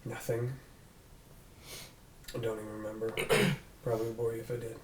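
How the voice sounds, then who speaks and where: Man playing gruff detective, roomy and off mic. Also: mid-range voice, level tone, moderate pace, distant mic, lightly acted. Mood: shaken, defensive. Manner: blunt, casual, clipped swearing. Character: gruff, guarded, sweary human police detective. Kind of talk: table read of a drama script. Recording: roomy echo, off mic, faint noise.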